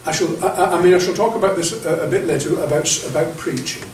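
An older man lecturing clergy in a meeting room, his voice a touch higher than most men's. He talks in a chatty, anecdotal way, tripping over restarts. The sound is echoey and distant, picked up by a camera across the room, with a faint hiss behind. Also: fast talker, a little mellow. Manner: chatty, anecdotal, many restarts and stammered repeats. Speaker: bishop leading clergy training. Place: classroom-sized meeting room with projector screen. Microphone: far camera.

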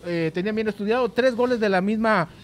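A man co-hosting a sports show, giving a news round-up in a halting, hesitant way with repeated words. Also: high voice. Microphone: handheld microphone, masked speaker.

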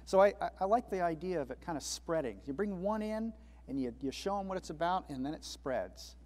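A man's voice: fairly high pitch, lively intonation, brisk, a little mellow, big-room sound. A man speaking reflectively on a panel discussion, acting out imagined dialogue as he explains. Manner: reflective, acts out quoted dialogue.

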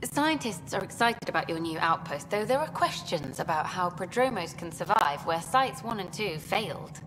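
An actress voicing an eager, upbeat female documentary journalist in a video game, talking quickly and pushing for answers. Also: on the low side, lively intonation, in a large hall, music in the background, lightly acted, low-quality recording. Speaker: documentary filmmaker character.

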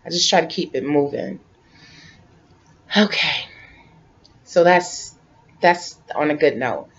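A woman in her late forties chatting casually to camera from her living room couch, relaxed and rambling, repeating herself as she talks. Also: sits low, takes it slowly, breathy edge. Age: 40s. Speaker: vlogger. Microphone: webcam. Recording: dull lo-fi webcam audio.

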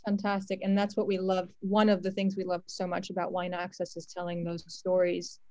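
A woman's voice: lowish voice, completely flat delivery, fairly quick, dark tone, dry recording. A woman hosting a panel in a conversational, appreciative and encouraging way as she moderates. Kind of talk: conversational hosting, relaying audience questions. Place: remote virtual panel call. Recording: dull, lo-fi, dry call audio.

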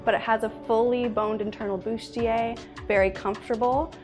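A woman's voice: on the high side, steady tone, normal speed, darkish tone. A young woman in her twenties, a designer, earnestly explaining her dresses in a studio interview. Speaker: fashion designer guest. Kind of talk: describing her work in an interview. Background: background music.

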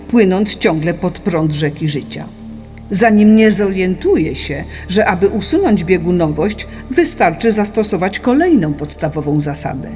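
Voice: medium pitch, a little mellow, lightly acted. A narrator reading a scripted philosophical audiobook in an explanatory, didactic manner. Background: music.

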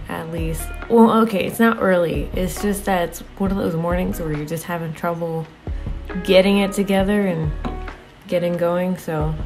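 A young woman talking casually about her week, halting with many fillers, her voice slightly raspy. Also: on the low side, a little mellow, echoey room, rough recording. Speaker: art vlogger. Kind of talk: casual confessional talk. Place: home studio room with desk and monitors.

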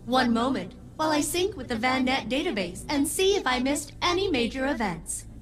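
A prim, formal ship AI. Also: female voice, high-pitched, very animated, moderate pace. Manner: formal, precise, slightly robotic. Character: prim female AI construct, formal and eager to serve. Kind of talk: video game voice acting.

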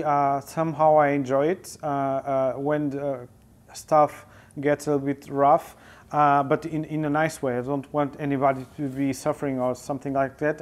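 A man in his forties with a Portuguese accent, answering interview questions thoughtfully with many hesitations, on a lapel mic in a studio. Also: a little high. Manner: thoughtful, frequent filler hesitations. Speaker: interview guest, photojournalist. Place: indoor studio with sofa.

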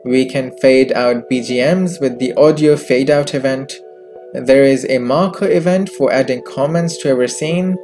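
A man presenting a software tutorial in scripted narration, recorded as a close voice-over with music behind him. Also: medium pitch, unhurried. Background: background music.

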